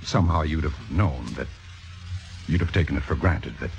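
A man's voice: extremely low pitch, normal speed. A man playing a cynical, commanding theater critic in a vintage radio drama, with precise, sardonic, possessive delivery. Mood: cold, menacing. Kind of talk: 1950s radio drama acting. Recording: dull vintage radio recording, music behind.

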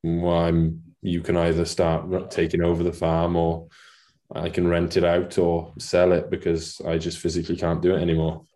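A man's voice: low-pitched, completely flat delivery, moderate pace, dark tone. A Welsh man in his late twenties, a farmer guest chatting casually in an interview, self-deprecating and laughing at his own jokes. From Wales.

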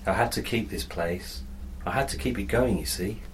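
A woman's voice: rumbling low, normal speed, slight background noise, pitched below the performer's own voice, poor audio. A woman voicing a forlorn, regretful elderly man in a story, speaking in a wistful, confiding, old-fashioned way.

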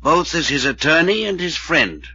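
A proper, stern family attorney in an old radio serial. The voice is very forceful and raspy, formal in its scolding. The recording is muffled and aged. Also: male voice, a little high, sing-song, normal speed, no echo, exaggerated voice. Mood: genial. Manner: formal, reproving. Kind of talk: old-time radio drama acting.

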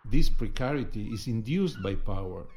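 A mature man from Argentina giving a measured, articulate reflection in a deliberate, grave manner. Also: lowish voice, animated, a little mellow. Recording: music playing behind the voice.

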